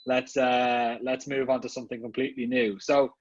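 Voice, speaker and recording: A man's voice: medium pitch, level tone, normal speed, dry recording, muffled recording. A man with an Irish accent, a marketing executive speaking casually as a guest at an online summit, talking with many fillers and restarts. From Ireland. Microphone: video call.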